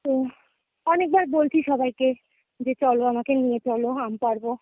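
A young woman speaks in a high voice with a West Bengal Bengali accent. She recounts her own experience in a casual, conversational way, often checking that the listener follows. It comes through a thin, tinny phone line with very little room sound. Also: moderate pace, no room sound. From West Bengal, India. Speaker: guest caller. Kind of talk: casual personal storytelling. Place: remote call, dry sound. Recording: narrow tinny phone-call audio.

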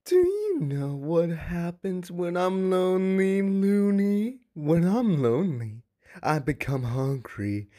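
A woman voicing a lewd, needy male demon prince in a comic fan dub, with a drawn-out, suggestive delivery. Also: mid-range voice, heavily acted.

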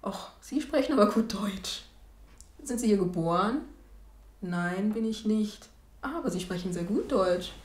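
A woman's voice: medium pitch, lively intonation, measured pace. Young woman speaking thoughtfully, roomy sound. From Germany. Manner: thoughtful, hesitant, many fillers and restarts. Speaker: documentary interviewee. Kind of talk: reflective documentary testimony. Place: small room indoors and street outdoors.

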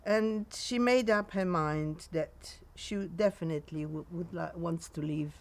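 A woman in her nineties with a Polish accent, recounting memories in a halting way in a sunny garden. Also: on the low side. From Poland. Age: 90s. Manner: halting, with frequent pauses and restarts. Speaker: interviewee.

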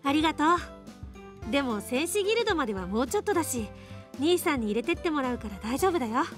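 Casting: breezy little-sister warrior for game radio drama; high, animated, cheerful, independent. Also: female voice, quick pace, soft background music. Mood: breezy grateful. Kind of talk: Japanese anime game radio drama acting.

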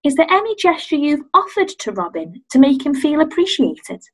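A woman playing a patient, polite couples counsellor in a comic radio play, measured and diplomatic. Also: sits high, big pitch swings. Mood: soothing, diplomatic.

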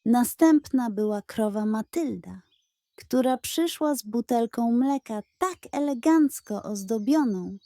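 A woman storyteller narrates a children's bedtime tale. Her pace is slow and her tone mellow. The recording is close, with no room sound. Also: fairly high pitch, animated, no echo.

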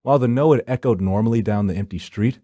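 A man's voice: fairly low pitch, fast, mellow, no room sound, studio quality. A man reading first-person horror narration for a visual novel in a brooding, theatrical storytelling manner.

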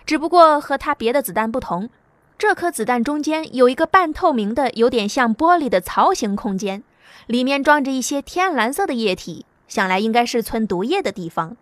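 A woman giving scripted audiobook narration with an even storytelling delivery. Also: a little high, normal speed, dry recording.

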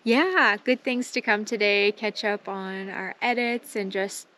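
A woman's voice: medium pitch, fairly even intonation, measured pace, pushed and bright, slight background noise. Cheerful woman chatting outdoors. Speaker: vlogger, thru-hiker. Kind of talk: casual vlog chat. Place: outdoors at a mountain tent site.

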